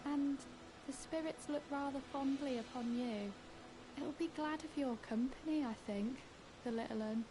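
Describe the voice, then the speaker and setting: An actress playing a timid, mournful young woman in a video game, with a halting, wistful, hushed delivery. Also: high-pitched, background noise, natural voice. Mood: gentle, fond. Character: timid, mournful young woman, self-confessed craven, darkly whimsical. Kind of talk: video game character dialogue.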